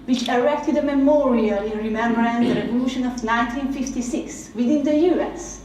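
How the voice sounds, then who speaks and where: A woman with a Hungarian accent, a native Hungarian speaker, giving a reflective spoken essay in a slow, measured, heartfelt way. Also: high-pitched, darkish tone, a little hiss or hum. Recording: very echoey room, mic at a distance.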